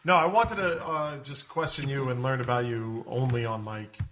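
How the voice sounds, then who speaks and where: A man's voice: medium pitch, moderate pace, noisy background. A man hosting a comic panel, questioning a guest in a teasing, mock-formal way. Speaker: podcast host questioning a contestant.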